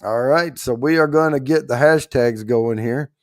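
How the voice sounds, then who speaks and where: A man with a rough, hoarse, worn-out voice, hosting a livestream in rambling, folksy casual chat while trying not to get loud. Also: mid-range voice, moderate pace, dry recording, clean studio sound. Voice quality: rough, strained voice. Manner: rambling, folksy, holding back volume. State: hoarse, worn out after allergy attack. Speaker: host of a whiskey giveaway livestream.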